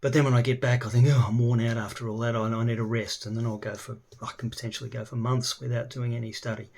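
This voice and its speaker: A middle-aged man with an Australian accent chats loosely about himself, stopping often to hunt for words and filling the gaps with ums. His voice sits at a middling pitch and carries a gravelly rasp at the ends of phrases. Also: level tone, fast, a little mellow, slight background noise. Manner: hesitant, many ums and restarts. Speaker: language learner. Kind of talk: casual self-introduction video.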